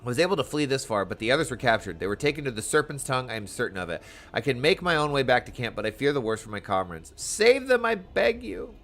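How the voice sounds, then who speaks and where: A man's voice: mid-range voice, big pitch swings, fast talker, fairly bright tone, faint music behind. A man voicing a grateful, desperate wounded soldier from a fantasy game, earnest and pleading. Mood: pleading, fearful. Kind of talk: reading game dialogue aloud in character.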